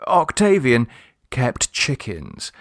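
A man reading a literary short story aloud in a measured, expressive storytelling manner. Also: medium pitch, no room sound, studio quality. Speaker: audiobook reader. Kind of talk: scripted literary story narration.

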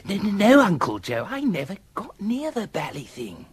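Silly young upper-class Englishman, radio drama, high voice, swooping pitch, bumbling, excitable. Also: normal speed, breathy edge, full character voice. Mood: panicked. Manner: bumbling, protesting, full of exclamations. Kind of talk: 1980s radio drama acting.

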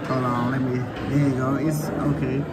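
A man's voice: medium pitch, little pitch movement, moderate pace. A young man vlogging casually over dinner, laid-back and teasing with speech. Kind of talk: casual chat to camera while dining. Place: busy hotel restaurant, indoors. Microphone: phone held at arm's length. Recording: loud crowd chatter and room noise.

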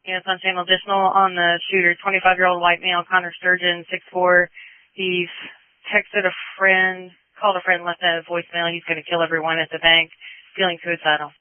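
Male police dispatcher on a tinny radio, flat even tone, short clipped relays. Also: high-pitched, brisk, clean tone, no echo. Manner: terse, repeats reports back. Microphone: radio transmission.

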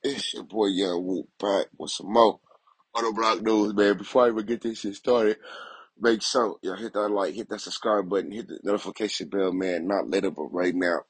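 A man's voice: on the low side, steady tone, moderate pace, husky, no room sound. A young man hosting a casual news commentary vlog, streetwise and leaning on "you feel me" after nearly every line.

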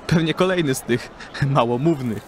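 An actor playing a bald, mocking, pipe-smoking street idler in an audio drama, with sneering colloquial talk. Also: male voice, medium pitch, moderate pace, a little mellow, slight background noise, lightly acted.

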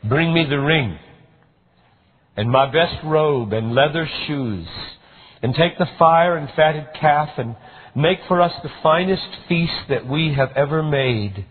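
A man's voice: lowish voice, breathy edge, full character voice. A man voicing a forgiving old father. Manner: tender, pleading. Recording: tinny phone-like recording.

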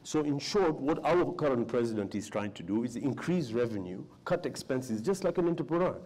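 A man in his forties speaks earnestly on a conference panel. His voice is soft and mellow, and he circles back over his own words as he builds his case. He is recorded on a lapel mic in an indoor hall. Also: mid-range voice, animated, brisk. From Tanzania. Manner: earnest, repeats words when starting, emphatic. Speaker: panelist, businessman. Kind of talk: panel discussion.

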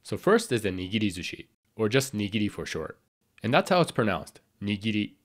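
A man with a slightly raspy voice from a cold, explaining sushi basics as the host of a scripted cooking tutorial. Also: on the low side, sing-song, brisk. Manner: clear, explanatory, friendly instruction. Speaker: sushi chef and channel host.

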